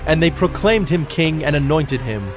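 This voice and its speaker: A man's voice: fairly high pitch, expressive, normal speed. Solemn male scripture narrator over faint music.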